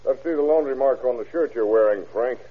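An actor playing a commanding, shrewd American police captain with a brusque interrogator's manner in an old-time radio crime drama. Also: male voice, medium pitch, a little fast, close to an everyday voice. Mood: pressing. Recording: tinny, rough old radio recording.

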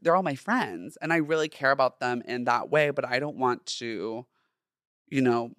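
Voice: mid-range voice, fairly bright tone, no echo, studio quality. A podcast host in their twenties with a feminine-sounding voice, talking casually and playfully in chatty, slangy, emphatic monologue. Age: 20s.

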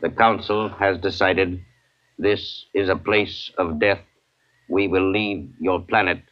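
Deep-voiced, coldly logical alien. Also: male voice, slow. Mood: formal, impassive. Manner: formal, deadpan, clipped pronouncements. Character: coldly logical, immortal male alien called George. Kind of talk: 1950s radio drama acting. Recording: muffled vintage radio recording.

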